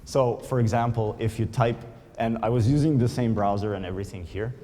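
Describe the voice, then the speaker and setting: Slovenian-speaking guy giving a conference talk, mellow lowish voice, lots of ums, long hall echo. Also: brisk. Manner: frequent "um" fillers, explanatory. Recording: reverberant hall.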